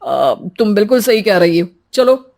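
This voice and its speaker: A woman's voice: mid-range voice, sing-song, moderate pace, gravelly, darkish tone. A woman voicing a callous young adult son in a cartoon family drama, cold and accusing.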